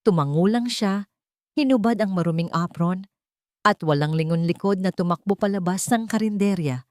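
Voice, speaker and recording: A woman with a Filipino accent narrating a scripted story in a measured, dramatic way. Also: mid-range voice, darkish tone, no echo. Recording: dry studio voice over background music. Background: background music.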